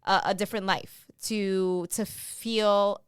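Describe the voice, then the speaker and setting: Earnest young woman, smooth voice. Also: mid-range voice, steady tone, dry recording, clean studio sound. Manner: earnest, halting with many fillers. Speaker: podcast co-host. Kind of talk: casual chat.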